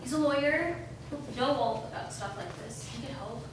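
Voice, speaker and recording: Higher-pitched woman acting a teenage girl, pushy upbeat best friend, staged reading, very echoey room. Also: normal speed, close to an everyday voice. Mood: urgent, insistent. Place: live reading in a reverberant room. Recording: echoey noisy room, distant microphone.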